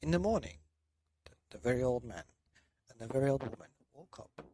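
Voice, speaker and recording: A man reading a children's bedtime story in a loose, dramatic way with drawn-out emphasis. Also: medium pitch, sing-song, dark tone, slightly rough, dry recording. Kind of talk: children's story narration, partly improvised.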